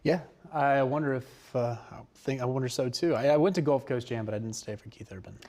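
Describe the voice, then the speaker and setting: A man with an American accent chatting casually as a TV co-host, thinking aloud haltingly and repeating himself. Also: medium pitch, darkish tone. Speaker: TV news show co-host. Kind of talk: casual unscripted studio chat.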